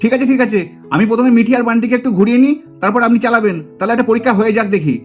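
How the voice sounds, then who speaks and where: A man acts out a cocky young uncle in a doll cartoon. He talks very fast, grumbling and teasing with sarcastic bluster, over faint music. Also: very high voice, steady tone, dull audio. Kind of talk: exaggerated Bengali puppet cartoon acting.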